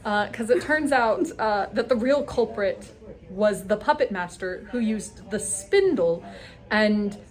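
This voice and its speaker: A woman chatting enthusiastically and casually as the host of a group recap vlog. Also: mid-range voice.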